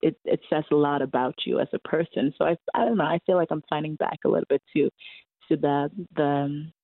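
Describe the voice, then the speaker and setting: A woman in her twenties with a Norwegian accent, a musician answering interview questions in English, often pausing to hunt for words. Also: sits low, creaky, no echo. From Norway. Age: 20s. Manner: hesitant, searching for English words. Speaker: musician guest. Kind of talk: casual interview over a call. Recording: tinny phone-like line.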